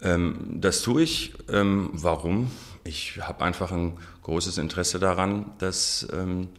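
A very low-pitched man in his forties answers questions on a radio interview. He thinks out loud, pausing on frequent ums and circling back to restart his sentences. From Germany. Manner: hesitant, many ums, restarts. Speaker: interview guest, sociologist.

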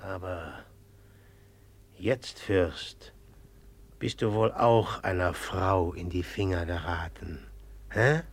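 A man's voice: sits low, slow pace, pauses often, full character voice. A man acting a brooding, jealous rival in a German radio play, blunt, sardonic and needling with resentment. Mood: bitter, mocking.

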